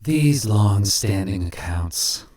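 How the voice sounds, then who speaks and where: A suave, sinister stranger who collects souls like specimens, as a male storyteller puts him on. His voice sits low and swoops widely, smooth and businesslike with a faint pious simper. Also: sing-song, unhurried, darkish tone. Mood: smooth menacing.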